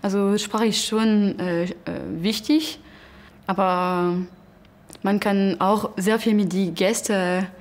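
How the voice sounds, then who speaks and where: A woman speaks slowly and hesitantly, pausing to find her words. The room adds a long reverb. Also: medium pitch, steady tone. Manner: slow, hesitant. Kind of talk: interview answers.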